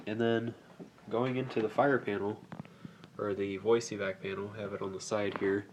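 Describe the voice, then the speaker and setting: Low-pitched gravelly man giving casual how-to. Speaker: hobbyist video host demonstrating alarm wiring. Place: indoors at home. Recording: faint background noise.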